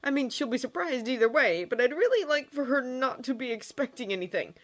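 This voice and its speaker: A woman's voice: high voice, lively intonation, brisk, voice pushed up. A woman voicing an earnest, awkward young man who rides dragons, speaking hesitantly in a story reading. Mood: resigned.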